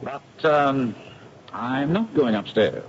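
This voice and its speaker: Noisy, dull old radio drama. a courteous young gentleman with swooping, lively delivery. He is genial, gallant and theatrical. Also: medium pitch, takes it slowly.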